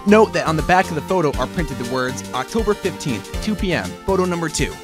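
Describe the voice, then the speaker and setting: A man's voice: fairly high pitch, very animated, fast. A man playing an earnest, persistent young defense lawyer in an amateur fan dub of a game, questioning pointedly. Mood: reasoning. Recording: music playing behind the voice.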